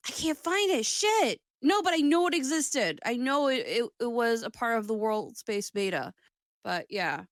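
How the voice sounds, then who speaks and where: A woman's voice: on the high side, lively intonation, normal speed, no room sound, studio quality. A woman co-hosting a casual gaming chat show, talking in a rambling, sweary way and often interrupting herself.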